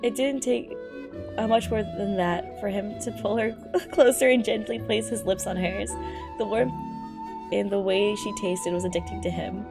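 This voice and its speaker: A woman's voice: mid-range voice, fairly even intonation, normal speed, music in the background. A woman streamer in a giggly, slangy, incredulous style, reading aloud and reacting casually.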